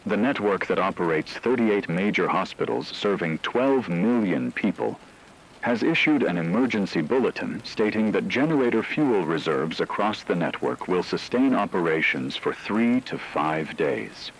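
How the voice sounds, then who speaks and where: A man playing a grave, formal radio news anchor, reading an emergency broadcast in a measured, authoritative way. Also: deep voice, expressive, husky, full character voice, rough recording. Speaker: news announcer.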